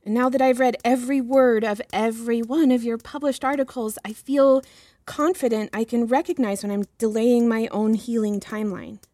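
An actress playing a young, earnest and deferential patient in a fiction podcast, speaking in a hesitant, careful, apologetic manner. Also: female voice, fairly high pitch, steady tone, moderate pace, dark tone, dry recording, natural voice. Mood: guardedly earnest. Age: young adult. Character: young female patient, earnest, deferential, guarded, eager to please.

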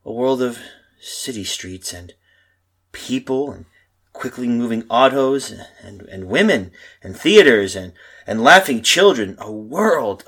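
A man playing a tormented husband in an old-style radio horror drama, theatrical and husky. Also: mid-range voice, fairly bright tone, exaggerated voice. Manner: theatrical, brooding, halting monologue. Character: tormented lost husband, haunted, telling his doom.

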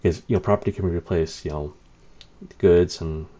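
Man, very low dark voice, rambling anecdotal storyteller, dull lo-fi sound. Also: steady tone, brisk. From Canada. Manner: rambling, many fillers, wry laughs. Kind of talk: casual anecdote telling. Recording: dull lo-fi recording.

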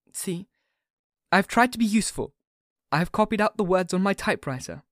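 A man putting on a gentle, earnest young woman. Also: high-pitched, no echo, studio quality, voice pushed up. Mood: earnest, tender. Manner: soft and earnest. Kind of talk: audiobook narration.

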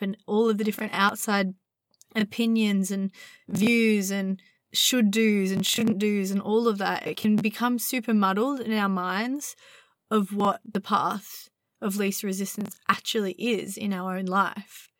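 A young woman hosting a spiritual podcast from inside her van at night, slightly husky as her voice recovers, talking in a dreamy, meandering way. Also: mid-range voice, fairly even intonation, no room sound. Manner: dreamy, meandering, drawn-out words. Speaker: podcast host. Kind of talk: casual spiritual podcast monologue.

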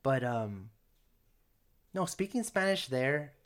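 A man's voice: mid-range voice, very animated, takes it slowly. A young man talking casually as a podcast guest, rambling with lots of fillers and restarts.

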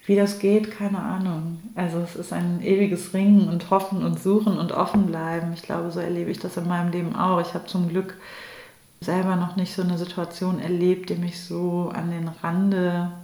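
A woman's voice: on the low side, little pitch movement, normal speed, dark tone. A woman in her late thirties, a pastor, speaking reflectively as a guest in a conversational interview. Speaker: guest, Protestant pastor and theologian. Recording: echoey room.

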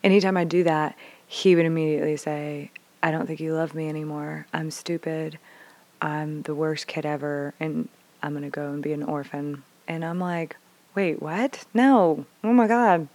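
A low-pitched woman in her thirties talks openly about raising her kids, cursing casually and voicing each side of a conversation. She slips into hushed whispers, then jumps up in loud, excited bursts. The sound is dry and close, with no room around it. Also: moderate pace, no room sound. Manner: expressive, swears freely, acts out dialogue with big swings from whispers to bursts. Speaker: mother sharing parenting stories. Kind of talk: candid casual storytelling.

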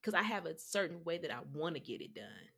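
A woman co-host chats casually on a podcast. Her voice sits low but sounds bright, with a lively, swinging pitch. She rambles, fills pauses with "like," and often restarts her sentences. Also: gravelly, breathy edge. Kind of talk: casual chat.

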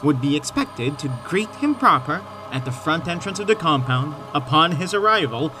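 An insistent, wily messenger in an audio drama. His voice is fairly high and swings widely, urgent under a show of polite deference. Faint ambient noise sits behind him. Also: very animated, normal speed. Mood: grave, insistent.

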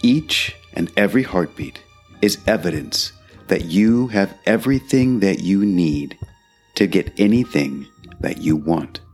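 Very deep, slow male meditation guide. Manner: calm, measured, with long pauses. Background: background music.

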